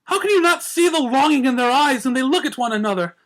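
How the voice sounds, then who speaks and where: A man's voice: extremely high pitch, animated, fast talker, fairly bright tone, voice pushed up, low-quality recording. A man voicing a grand, gushing, romantic female unicorn in a story reading, theatrical and haughty. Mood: dramatic, indignant. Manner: theatrical, haughty, effusive, says "darling".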